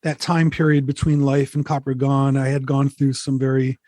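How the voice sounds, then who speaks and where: A man's voice: mid-range voice, flat delivery, moderate pace, warm tone, no room sound, studio quality. A man in his forties, a musician talking candidly and reflectively as an interview guest, swearing casually.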